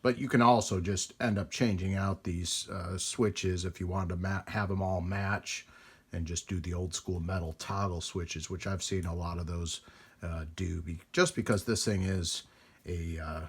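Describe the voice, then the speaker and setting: A man's voice: low-pitched, fairly even intonation, moderate pace, breathy edge. A man hosting a casual hands-on demonstration of vintage radio gear, talking in a rambling, folksy way with hesitations as he works. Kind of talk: casual hands-on vlog narration.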